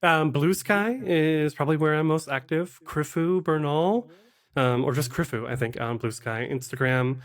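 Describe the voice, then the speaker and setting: A man's voice: on the high side, moderate pace. A man talking casually as a podcast guest, conversational, sometimes voicing imagined remarks as he explains. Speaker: podcast guest, actual play game master and producer. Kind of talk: casual interview chat giving advice.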